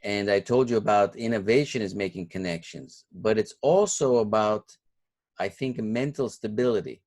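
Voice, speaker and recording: A man's voice: on the low side, moderate pace, darkish tone. A man whose first language was Turkish, a course instructor giving feedback and asking questions in a class, rambling and thinking aloud with many fillers.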